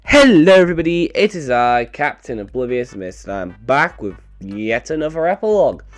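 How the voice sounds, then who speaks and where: A man presents in a dry, deadpan manner at an ordinary male pitch. His voice sounds a little off, as he is getting over a bad cold. Music plays behind him. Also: normal speed, no echo. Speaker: video creator and narrator. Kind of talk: scripted narration with dry humour, casual outro.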